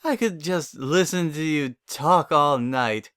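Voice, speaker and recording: A man's voice: on the high side, takes it slowly, a bit bright, clear, resonant tone, no room sound, clean studio sound, pitched above the performer's own voice. A man voicing a tipsy, flirtatious, refined lady pony, slurred and gushing, in a story reading. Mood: giddy. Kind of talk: dramatic fan fiction reading.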